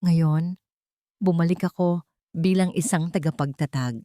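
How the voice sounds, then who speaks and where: A woman's voice: lowish voice, measured pace, dark tone, dry recording, studio quality. A woman reading a first-person story as scripted audiobook narration, in an earnest, dramatic storytelling manner.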